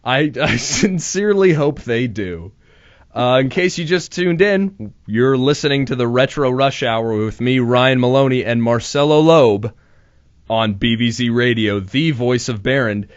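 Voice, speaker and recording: A man's voice: medium pitch, measured pace, dry recording, dull audio. A young man hosting his first college radio show, talking casually with nervous restarts and self-deprecating asides in a small studio. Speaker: radio host. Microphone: studio microphone.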